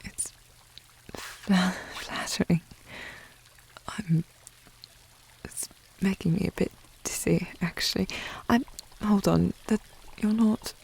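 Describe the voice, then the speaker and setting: A woman plays a haughty young noblewoman in a historical romance roleplay. Her voice is very breathy, slipping into whispers, with some creak. She sounds refined and proud, easily flustered. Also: lowish voice, steady tone, slow, long pauses, darkish tone, full character voice. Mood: flustered, whispering. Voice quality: breathy, often dropping to a whisper. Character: snooty young aristocratic lady, proud, flustered, secretly fond.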